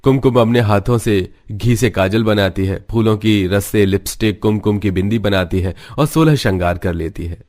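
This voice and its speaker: A man's voice: on the low side, normal speed, few pauses, darkish tone. A man reading scripted narration for a cartoon story.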